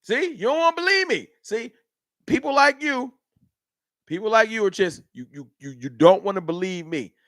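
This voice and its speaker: A man's voice: high voice, moderate pace, no echo, clean studio sound. A man from Dallas, hosting a live sports fan stream and arguing heatedly with his chat. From Dallas, Texas. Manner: animated, argumentative, heated ranting. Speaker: sports fan livestream host.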